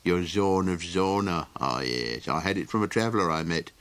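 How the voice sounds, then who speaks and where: A man's voice: low-pitched, expressive, fairly bright tone, smooth, no echo, voice pushed down. A man voicing a fretful, kindly creature who rambles with ums and chuckles in a storybook reading. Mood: musing. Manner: halting, full of ums and chuckles.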